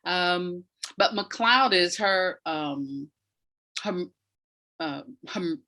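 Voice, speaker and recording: A woman's voice: lowish voice, expressive, extremely slow, pushed and bright. A woman giving reflective, conversational explanations as an interview guest, thoughtfully searching for her words. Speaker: academic and audio dramatist, interview guest.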